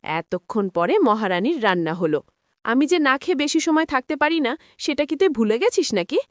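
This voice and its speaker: Woman playing a harsh, high-voiced cartoon stepmother. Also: very animated, moderate pace, no echo, studio quality. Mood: sneering impatience. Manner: harsh, taunting, scolding. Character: cruel, scolding stepmother, bullying and threatening. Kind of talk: cartoon voice acting.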